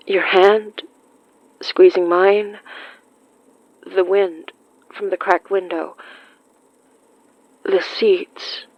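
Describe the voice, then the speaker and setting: A woman acting a guilt-ridden, self-doubting but tender young woman in a naturalistic audio drama, with a halting, emotional delivery. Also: mid-range voice, steady tone, no echo, dull audio. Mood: shaken, calming down.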